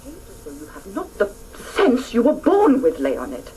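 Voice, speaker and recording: A woman's voice: fairly high pitch, huge pitch swings, slow pace, warm tone, heavily acted. A woman playing an exasperated mother scolding her child in a radio drama. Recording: faintly noisy old radio broadcast.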